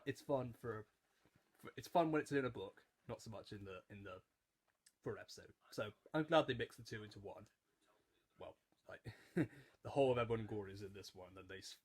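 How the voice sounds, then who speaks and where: A man's voice: lowish voice, fairly quick, breathy edge. A twenty-year-old man giving casual rewatch commentary, rambling in stop-start phrases with repeated words and frequent chuckling. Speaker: YouTube reviewer.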